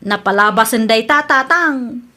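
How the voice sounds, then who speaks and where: A woman's voice: fairly high pitch, a little slow, bright, forceful tone. A Filipino-accented woman playing a teasing, exclamatory unmarried daughter in a comedic radio drama. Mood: probing. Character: teasing unmarried daughter chatting with her father.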